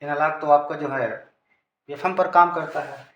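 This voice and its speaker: A man's voice: on the high side, steady tone, moderate pace, a bit bright, dull audio. A man with an Indian accent giving an informal technical lesson, explaining and repeating key terms. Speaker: teacher. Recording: echoey room, background noise.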